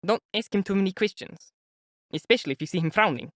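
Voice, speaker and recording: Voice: medium pitch, lively intonation, fast, dry recording, clean studio sound, voice pushed up, lightly acted. A reader voicing an earnest, devoted young man giving direct advice.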